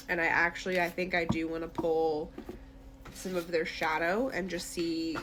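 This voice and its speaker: Woman, lowish voice, tarot reader, conversational and reassuring, speaking straight to the listener, noticeable background noise. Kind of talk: intuitive tarot reading addressed to the listener.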